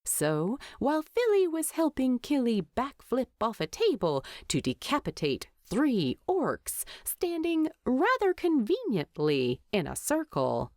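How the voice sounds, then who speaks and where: A woman's voice: high voice, wildly swooping pitch, a little slow, few pauses, darkish tone, dry recording. A woman narrating a written story expressively into a close microphone.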